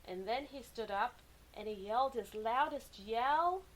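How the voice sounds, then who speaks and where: A woman reading a children's story aloud with animated, deliberate, dramatic expression. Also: fairly high pitch, rough recording. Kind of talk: expressive picture-book narration for kids.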